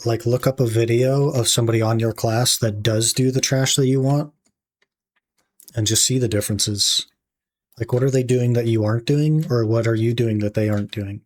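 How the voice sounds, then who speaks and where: A young man streaming a game, his voice gone a little raspy from talking. He muses aloud to his chat in a relaxed way while he plays. Keyboard typing can be heard behind him. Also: medium pitch. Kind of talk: casual gaming livestream chat.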